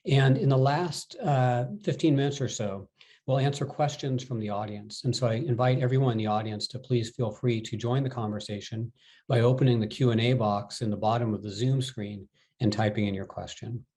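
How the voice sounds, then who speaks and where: A man in a measured way introducing speakers and moderating a webinar over a video call. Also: medium pitch, fairly even intonation, darkish tone. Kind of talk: formal introduction.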